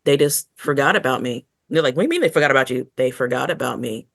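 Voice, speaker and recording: A woman co-hosting a podcast in casual review chat, telling things in an expressive, story-like way. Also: very deep voice, quick pace, creaky, no room sound, studio quality. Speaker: podcast co-host.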